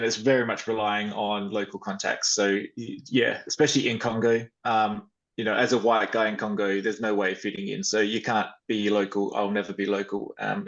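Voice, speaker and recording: Man, fairly low gravelly voice, even intonation, informal explanations, lo-fi sound. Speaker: interview guest, photographer. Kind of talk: conversational explanation in an online discussion.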